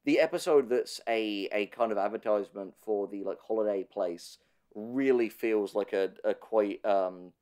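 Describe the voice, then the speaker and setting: A man's voice: on the low side, moderate pace, darkish tone, smooth. A man in a conversational analytical discussion, speaking thoughtfully with hesitant, stammering starts as a podcast host.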